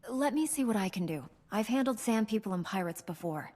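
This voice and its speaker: An actress playing a calm, self-assured warrior heroine in a video game, speaking in a composed, measured way. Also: female voice, medium pitch, lightly acted.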